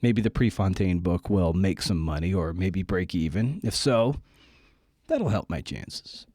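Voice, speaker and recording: A man with a low, mellow voice hosts a podcast in a casual, candid style. His manner is wry and self-deprecating. The sound is dry, with very little room in it. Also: lively intonation, a little slow, breathy edge, no room sound. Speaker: podcast host and writer.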